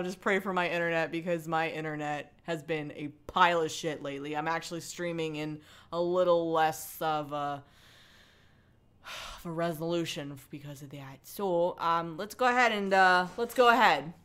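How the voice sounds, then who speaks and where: A woman's voice: lowish voice, a bit bright. A woman hosting a casual livestream, chatting playfully and irreverently, with asides and swearing as she reads aloud. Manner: chatty, often breaks off into asides. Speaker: livestream host reading a book aloud. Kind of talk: casual livestream chat mixed with mocking dramatic read-aloud.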